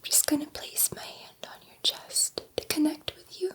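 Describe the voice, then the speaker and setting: Woman playing a gentle whispering alien scientist. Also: close to an everyday voice. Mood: gentle, careful. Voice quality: soft breathy whisper. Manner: soothing, slow, repeating words reassuringly. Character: gentle, excitable female alien scientist soothing an abducted human. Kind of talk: ASMR roleplay.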